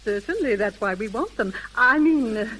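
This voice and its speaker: A scheming middle-aged woman putting on society airs in an old radio play. Her delivery is gushing and overeager, with big swoops in pitch. The 1930s recording carries a light hiss and hum. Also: expressive, normal speed, fairly bright tone. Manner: gushing, overly eager, slangy in private. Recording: hissy, humming 1930s radio broadcast.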